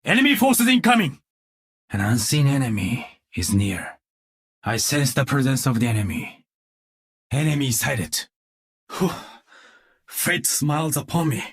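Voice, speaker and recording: An actor playing a disciplined, stoic cyborg ninja swordsman in a video game, with a husky voice, a Japanese accent and formal, clipped phrasing. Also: mid-range voice, big pitch swings, a little slow, long pauses, exaggerated voice. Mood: urgent, commanding, then grave, quiet. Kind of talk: video game combat voice lines.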